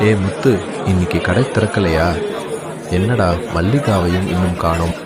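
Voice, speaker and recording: Casting: man as low-voiced cartoon village customer, grumbling, loud crowd all around. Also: normal speed, lightly acted. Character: grumbling male village tea stall customer. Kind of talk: animated moral story dialogue. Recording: loud crowd noise behind voice.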